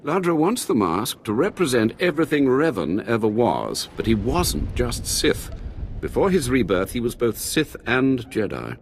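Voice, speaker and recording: An actor playing a devout, shrewd cult scholar in a video game, measured and quietly conspiratorial as he persuades. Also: medium pitch, big pitch swings, a little hiss or hum. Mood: welcoming, dismissive. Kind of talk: video game cutscene acting.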